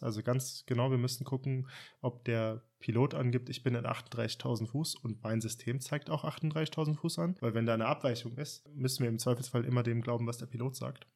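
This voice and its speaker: Guy talking shop about air traffic control, mid pitch, careful and practical, lots of hedging. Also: gravelly, a little mellow. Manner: explains in hedged, practical detail. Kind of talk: casual podcast chat.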